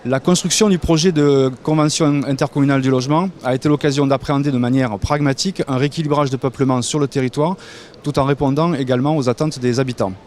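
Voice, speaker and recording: A man's voice: medium pitch, fairly even intonation, fast, darkish tone, slight background noise. A man representing housing landlords delivers a prepared, formal statement fluently, in long, carefully built sentences.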